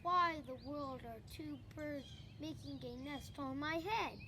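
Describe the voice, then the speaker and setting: Child reader, high voice, slow and halting through a storybook, clear outdoor birdsong noise. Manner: halting, hesitant early-reader delivery. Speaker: young co-reader of a picture book. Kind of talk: reading a picture book aloud.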